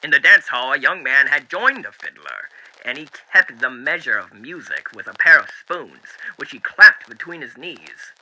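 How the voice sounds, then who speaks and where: A man voicing a dapper, sinister radio demon who reads a story theatrically and deliberately through an old radio filter. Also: medium pitch, very animated, full character voice, rough recording. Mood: ominous relish. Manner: theatrical, deliberate, with hushed dips and swells. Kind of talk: dramatic book reading as old-time radio host.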